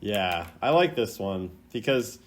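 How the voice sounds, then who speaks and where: Male video host, casual commentary, animated intonation, laid-back, rambling, hesitant. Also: on the low side, unhurried. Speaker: host ranking game voice lines.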